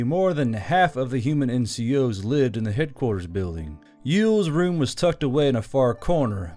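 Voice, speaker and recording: Measured man narrating a story over music. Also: medium pitch, darkish tone. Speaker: audiobook narrator.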